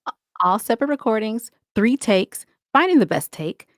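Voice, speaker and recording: A woman's voice: a little high, lively intonation, normal speed, no room sound, studio quality. A woman talking casually as an interview guest, emphatic and given to repeating points for stress. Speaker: interview guest, audio drama podcaster.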